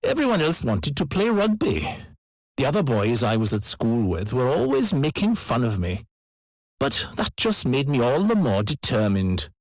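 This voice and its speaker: An older Welsh dancing master looks back with pride and determination. His voice is mid-pitched with very varied melody, recorded dry for a listening test. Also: wildly swooping pitch, moderate pace, no echo. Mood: proud, reminiscing. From Wales. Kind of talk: acted monologue for an English listening exam.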